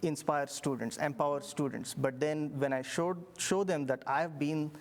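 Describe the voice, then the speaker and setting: A middle-aged man from India with an Indian accent, a professor recounting his path in a relaxed interview, reflective, with many fillers and restarts. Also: on the high side, moderate pace. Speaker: engineering professor. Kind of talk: interview storytelling.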